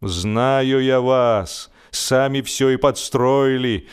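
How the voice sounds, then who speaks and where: A man voicing a sullen, indignant, accusing man with emphatic, drawn-out grumbling in a comic audiobook. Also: medium pitch, clear, resonant tone. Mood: grumpy, accusing.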